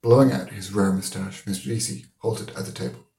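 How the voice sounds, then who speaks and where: Male literary narrator, Irish accent, lowish creaky voice, roomy recording. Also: brisk, warm tone. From Ireland. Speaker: audiobook reader. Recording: roomy echo.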